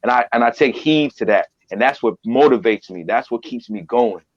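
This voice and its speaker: A man of middle pitch talks through a casual interview, stressing his points by saying them twice. The recording sounds dull and lo-fi, as if the top end has been cut off. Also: normal speed. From Trinidadian family. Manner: emphatic, repeats phrases for emphasis. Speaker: voiceover artist and business owner.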